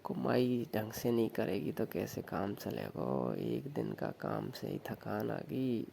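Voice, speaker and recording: A man acting as a Rajasthani village lover on a phone call, coaxing and suggestive. His voice is very gravelly and slow, at a middling pitch, with faint noise on the recording. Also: very animated, dark tone, heavily acted. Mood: earnest, suggestive. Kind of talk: staged phone call, casual flirtatious chat.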